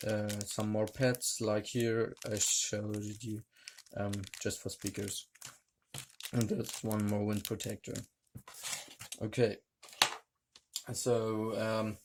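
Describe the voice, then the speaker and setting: A man reviewing a gadget hands-on, talking in a hesitant, halting way with many fillers. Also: on the low side, a little mellow, slight background noise. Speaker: tech reviewer. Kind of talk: hands-on product demonstration.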